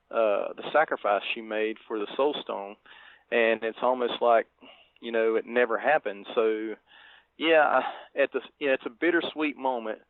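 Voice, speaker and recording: Over a narrow, phone-like connection with no room sound, a man chats casually as a podcast co-host. His voice sits at a medium pitch, and his phrasing is halting and tentative. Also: no room sound. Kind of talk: casual film discussion. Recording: thin phone-like line, dry.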